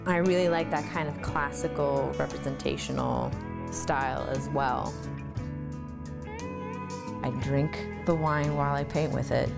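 A woman's voice: sits low, creaky. A woman in her thirties, an artist, talking thoughtfully about her work in an interview, recorded on a lapel mic in her cluttered home studio. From United States.

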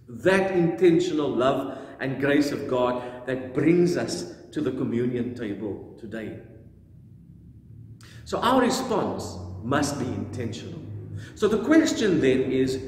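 A middle-aged man preaching a sermon as a pastor, with deliberate, emphatic phrasing and repetition. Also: fairly high pitch, a little mellow. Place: indoors in a church, standing before the camera. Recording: very echoey church hall, long reverb.

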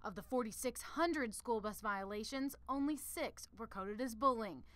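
A woman's voice: a little high, normal speed, fairly bright tone, no room sound. A woman news reporter reading scripted narration for a television report.

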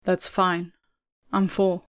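A woman's voice: fairly low pitch, monotone, slow pace, a bit bright, smooth, dry recording. A woman voicing a weary stoic captain. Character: older male army captain, stoic and heartbroken.

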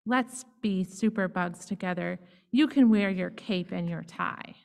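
A woman's voice: fairly low pitch, measured pace, gravelly, darkish tone, long reverb tail. A woman voicing a stubborn little girl who is an older sister, talking in a pleading, insistent way. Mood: gentle.

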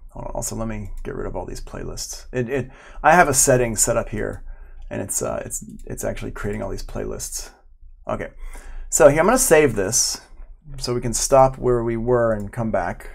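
A man's voice: fairly low pitch, lively intonation, moderate pace, gravelly. A middle-aged man giving an informal live online lesson, chatting and thinking aloud as he demonstrates. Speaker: audio production instructor. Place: indoor room at home, live stream.

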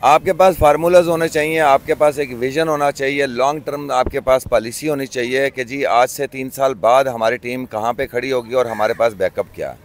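A middle-aged club cricketer from Pakistan giving his views outdoors in a casual interview, earnest and often pausing on fillers. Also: medium pitch, fairly even intonation. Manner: earnest, many hesitation fillers. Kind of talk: opinionated casual talk. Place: outdoors by a park road. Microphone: handheld mic.

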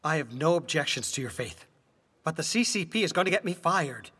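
A man's voice: on the high side, lively intonation, fairly quick, pushed and bright, husky, heavily acted. A man playing an anxious middle-aged husband, pleading and exasperated, in a dubbed stage drama. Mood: worried, persuading. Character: anxious, pleading husband afraid of losing his job. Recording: reverberant hall-like sound.

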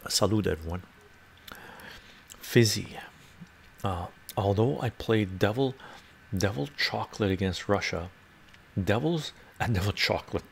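A man's voice: on the low side, vocal fry, slight background noise. An older man from Canada hosting a casual livestream from his home study on a lapel mic, chatting and answering viewer comments aloud. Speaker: livestream host reading chat.

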